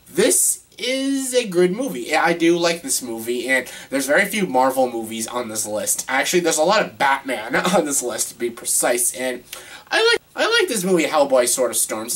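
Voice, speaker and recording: A man with a cold and a stuffed-up nose, chatting excitedly and rambling through a casual top-ten video. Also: medium pitch, husky. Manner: excitable, rambling, repeats himself. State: congested with a cold. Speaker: vlogger ranking films.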